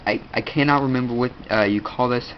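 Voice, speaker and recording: A teenage boy with a stuffed-up nose, casually showing his kit and rambling with lots of fillers. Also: medium pitch. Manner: rambling, halting, many fillers. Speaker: video host. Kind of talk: casual gear show-and-tell. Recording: dull lo-fi audio, faint room noise.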